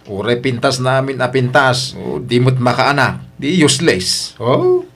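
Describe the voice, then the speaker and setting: A man's voice: medium pitch, sing-song, measured pace. A man with an Ilocano accent playing an emotional husband and father in a radio drama, with emphatic, plaintive delivery. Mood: frustrated, scornful.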